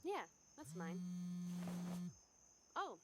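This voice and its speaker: A woman's voice: medium pitch, very animated, drawn-out words, heavy background noise. A woman voicing a hesitant, uneasy young woman in a visual novel game. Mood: puzzled. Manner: tentative.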